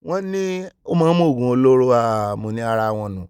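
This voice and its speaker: A man delivering fast, animated news commentary from Nigeria, excitable, with sudden jumps in pitch. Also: clean tone, dry recording, studio quality.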